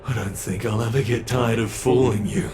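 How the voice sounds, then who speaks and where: A man's voice: mid-range voice, fairly even intonation, normal speed, darkish tone, slight background noise, lightly acted. A man voicing a smug, predatory male monster who teases the prey he is hunting, in an ASMR horror roleplay. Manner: teasing, taunting.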